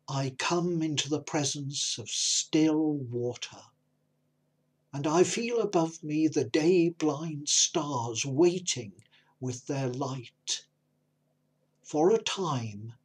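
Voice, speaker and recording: A man presenting and reading poetry in a measured manner, pausing between phrases. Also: fairly high pitch, husky. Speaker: compilation presenter and poetry reader.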